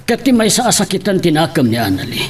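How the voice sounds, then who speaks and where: A man acting as an older father in an audio melodrama, worried and earnestly exhorting. His voice moves in lively swoops, with clear background noise around it. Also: sits high, fairly quick. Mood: troubled. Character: concerned older father counselling his grown child. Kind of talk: melodramatic Ilocano audio drama.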